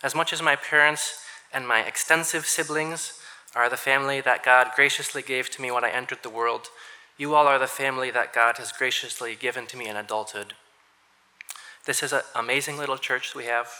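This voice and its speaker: Earnest young man, very bright voice. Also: medium pitch, fairly even intonation, a little fast, low-quality recording. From Minnesota. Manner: earnest, frequent throat-clearing, dry understated humor. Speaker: seminary graduate giving a speech. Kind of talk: heartfelt graduation speech to a church. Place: church hall with audience.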